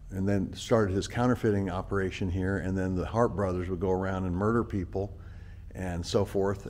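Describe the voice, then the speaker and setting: Low-voiced man telling a rambling travel story. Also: darkish tone, a little hiss or hum. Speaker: vlog co-host. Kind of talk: casual travel narration.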